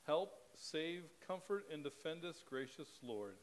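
Middle-aged man reading liturgy at a pulpit. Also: a little high. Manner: measured, steady reading cadence. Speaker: pastor. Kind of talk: leading a spoken liturgy. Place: church sanctuary, at a pulpit. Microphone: pulpit microphone.

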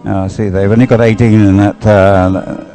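A man's voice: fairly low pitch, level tone, normal speed, dark tone, faint music behind. A man giving live sports commentary in a rambling, hesitant way, as if thinking aloud.

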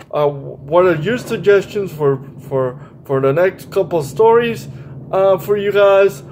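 A young man with a slightly high voice hosts a story time for children. He speaks very slowly and haltingly, often doubling back on a phrase as he addresses his young listeners. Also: darkish tone, slight background noise. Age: young adult. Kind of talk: children's story time, read-aloud and chat to viewers. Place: small bright room indoors.

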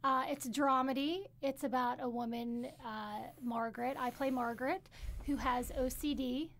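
A woman's voice: high voice, fairly even intonation, moderate pace, slight background noise. A young woman from upstate New York, raised in Pittsburgh, chatting as an actress guest in a small radio studio, self-deprecating and easygoing. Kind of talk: casual radio interview chat. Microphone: studio mic on a boom.

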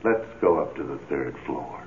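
An actor playing the amorous, coaxing ghost of a philandering husband in a radio drama, pleading and smooth-talking. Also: male voice, rumbling low, lively intonation, normal speed, heavily acted. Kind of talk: old radio drama acting. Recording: tinny, hissy old radio recording.

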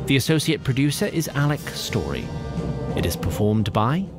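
A man's voice: medium pitch, big pitch swings, moderate pace. A man reading scripted end credits as an announcer. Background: brass music.

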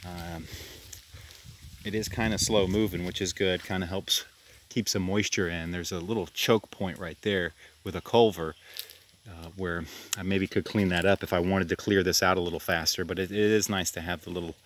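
A man giving a relaxed, rambling tour of his farm by a creek, filmed on a handheld camera outdoors. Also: deep voice, normal speed, a little hiss or hum. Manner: rambling, relaxed, self-deprecating with restarts. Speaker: farm vlogger. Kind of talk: casual walk-and-talk farm tour.

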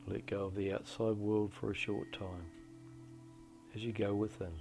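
A middle-aged man leading a guided meditation in a slow, deliberate, soothing delivery with long pauses. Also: lowish voice, level tone, gravelly. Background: soft meditation music.

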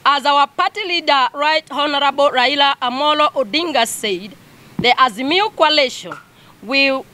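Kenyan woman, high bright voice, formal and deliberate, reading a press statement outdoors. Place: outdoors among a group of people. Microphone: cluster of handheld press microphones.

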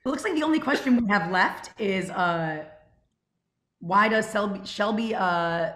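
A woman's voice: medium pitch, animated, a little slow, fairly bright tone. A young woman casually hosting a Q&A on a group video call. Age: young adult. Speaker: Q&A host of an audio drama club. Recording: roomy, echoing video call audio.

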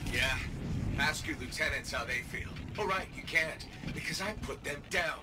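A man's voice: a little high, normal speed, very noisy, close to an everyday voice. An actor playing a confident male freelancer hero in a video game, dry, sarcastic and defiant. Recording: loud music over the voice.

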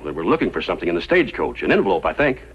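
An actor playing a wary, gruff frontiersman in a 1950s radio western, with a folksy, excitable manner. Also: low-pitched, rapid-fire, heavily acted. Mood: curious. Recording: muffled old radio recording.

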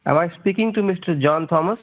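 A slightly high-pitched man comes through a tinny phone line, insistent and formal, repeating sir as he steers the call. The sound is thin and narrow. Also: quick pace. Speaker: phone scammer.

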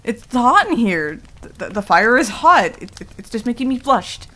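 A woman's voice: fairly high pitch, very animated, moderate pace, sharp tone, full character voice. A woman playing a prickly, easily flustered tsundere werewolf in a casual ASMR roleplay, with a defensive, stammering manner. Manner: casual, defensive, stammering when embarrassed, swears. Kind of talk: intimate ASMR roleplay acting. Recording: clearly audible background noise.

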